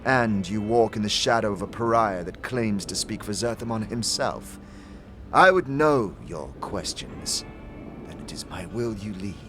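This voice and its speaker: A man's voice: lowish voice, lively intonation, a little slow, fairly bright tone, slight background noise. A man voicing a stern, hostile female alien warrior in a fantasy audio drama, speaking in a formal, archaic and accusatory way. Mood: cold, suspicious.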